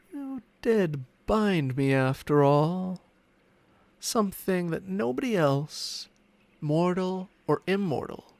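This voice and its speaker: A man's voice: a little high, vocal fry, a little mellow, dry recording, full character voice. A man playing a smug, possessive ancient dragon in human form, teasing and condescending in an intimate ASMR roleplay with formal, archaic phrasing. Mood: menacing, musing. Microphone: close microphone, spatial ASMR recording.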